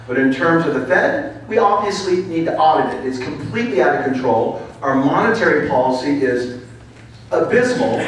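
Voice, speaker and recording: A confident, forceful man answers voters at a political forum, his voice slightly high. He is heard from a distance in a very echoey hall with faint noise underneath. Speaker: political candidate. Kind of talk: candidate answering at a public forum.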